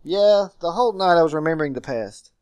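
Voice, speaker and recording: A man voicing a brooding gentleman guardian in a game, telling his story in a formal, melancholy manner. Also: on the high side, animated, fast talker, no echo, soft background music, natural voice. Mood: haunted.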